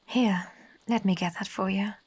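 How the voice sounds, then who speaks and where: A woman's voice: mid-range voice, fairly even intonation, moderate pace, darkish tone, slight background noise, natural voice. A woman playing an obsessive, possessive and volatile lover with sweet menace in an audio horror drama. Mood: tender, possessive.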